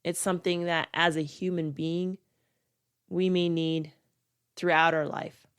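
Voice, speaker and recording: Congested, nasal middle-aged woman, podcast host, smooth clear tone, earnest and emphatic. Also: fairly low pitch, flat delivery, measured pace. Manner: earnest, repeats words for emphasis. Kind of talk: solo podcast talk.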